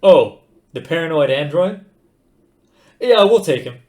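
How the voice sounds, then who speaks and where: A man voicing a brash, impatient male space captain with a loud, offhand manner. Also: fairly high pitch, animated, measured pace, voice pushed up. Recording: roomy echo.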